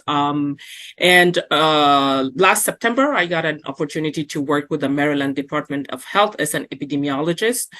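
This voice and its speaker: Guest: middle-aged woman, native Arabic speaker, deep yet bright voice, halting and repetitive. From South Sudan. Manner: halting, repeats words, many fillers. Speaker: program alumna and epidemiologist. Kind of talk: recounting career path in a webinar.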